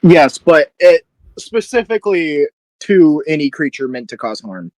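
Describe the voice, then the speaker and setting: A man's voice: fairly high pitch, sing-song, measured pace, a little mellow, no room sound, poor audio. Dry, close-sounding man playing a tabletop game. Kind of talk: casual tabletop game chat.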